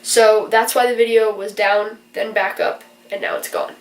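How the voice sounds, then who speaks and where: A woman's voice: medium pitch, flat delivery, normal speed, distant mic. Young woman with a stuffed-up nose rambling to camera, sitting back from the mic. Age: young adult. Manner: rambling, earnest, lots of fillers. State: congested, stuffy-nosed from a virus. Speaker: vlogger and college student. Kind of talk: casual explanatory vlog. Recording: roomy echo, from away from the mic.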